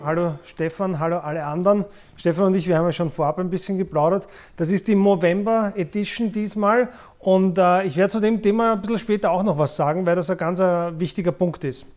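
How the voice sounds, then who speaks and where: A man's voice: high-pitched, steady tone, fairly quick, darkish tone, faint music behind. Viennese man in his forties, explaining. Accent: Viennese Austrian. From Vienna, Austria. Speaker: podcast host, former investment banker. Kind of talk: unscripted podcast talk about money.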